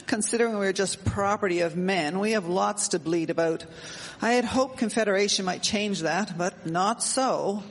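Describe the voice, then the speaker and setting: A woman's voice: medium pitch, moderate pace, close to an everyday voice. A woman playing a wry, outspoken woman of the 1870s in a radio drama, with formal period phrasing. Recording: echoey hall, faint background noise.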